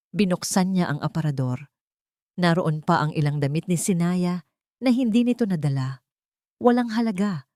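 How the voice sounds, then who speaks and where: Low-voiced woman narrating a dramatic story. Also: darkish tone, no room sound, studio quality.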